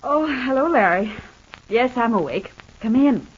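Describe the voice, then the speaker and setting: An actress playing a devoted, gentle wife recovering in hospital in a 1950s radio soap, speaking tenderly and earnestly. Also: female voice, on the high side, expressive, unhurried, slightly rough. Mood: tender, brave. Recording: dull, band-limited old radio recording.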